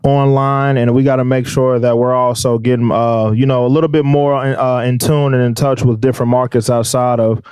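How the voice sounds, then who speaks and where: A man with a noticeably nasal voice talks loosely and at length, filling gaps with ums and you-knows. His voice sits at an ordinary pitch. He is recorded close on a studio mic with a dry, deadened sound. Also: level tone, brisk, hardly any pauses, a little mellow, smooth, no echo. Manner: laid-back, rambling with fillers. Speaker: rapper guest. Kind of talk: casual interview talk. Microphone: studio microphone.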